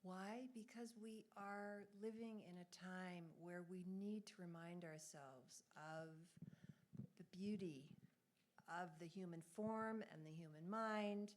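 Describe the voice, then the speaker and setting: A woman's voice: lowish voice, takes it slowly. A woman who is an abstract painter, speaking earnestly and reflectively about her work at a gallery talk. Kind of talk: public artist talk answering questions. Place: gallery event space.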